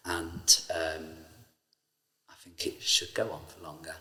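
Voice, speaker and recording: A man's voice: low-pitched, little pitch movement, a little slow, sharp tone. A man, a pantomime actor, talking in an earnest way about his years on the show. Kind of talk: casual interview chat. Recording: echoey hall.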